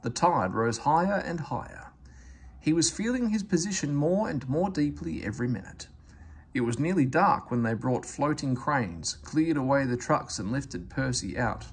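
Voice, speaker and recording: A man's voice: mid-range voice, lively intonation, normal speed, breathy edge. A man reading a children's story aloud as scripted narration. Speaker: storybook reader.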